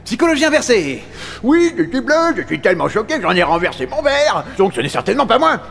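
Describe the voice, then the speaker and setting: A man voicing a hot-tempered, sarcastic, foul-mouthed astronaut crewmate in an animated fan dub. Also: high voice, fast talker, gravelly, exaggerated voice. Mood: mocking, sarcastic. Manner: sarcastic, crude, accusatory.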